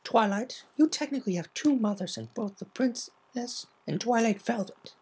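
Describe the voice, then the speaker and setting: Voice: medium pitch, lively intonation, moderate pace, a little mellow, a little hiss or hum, voice pushed up, lightly acted. A performer voicing an earnest, concerned young female cartoon unicorn pony with a sensible manner. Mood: matter-of-fact.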